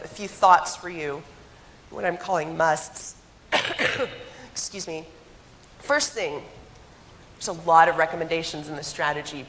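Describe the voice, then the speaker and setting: Hoarse woman presenting in a reverberant hall. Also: fairly low pitch, fairly bright tone, a little hiss or hum. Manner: direct, poses rhetorical questions to the audience. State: hoarse, getting over a cold. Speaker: economic development consultant presenting. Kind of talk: conference presentation. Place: conference hall.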